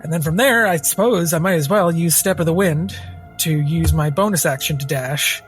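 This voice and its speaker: A man's voice: a little high, normal speed, faint music behind. Casual, playful man playing a tabletop game.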